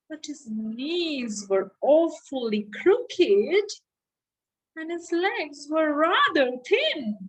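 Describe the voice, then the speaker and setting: A woman with an Indian accent who speaks deliberately, as a children's storyteller addressing young listeners expressively. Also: high-pitched, rough recording. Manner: slow, deliberate, child-directed delivery with drawn-out words. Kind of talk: expressive read-aloud for children.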